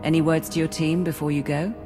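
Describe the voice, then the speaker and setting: A woman playing a brisk, efficient military operations coordinator giving businesslike briefings in a video game. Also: sits low, fairly even intonation, close to an everyday voice. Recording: reverberant hall, music behind.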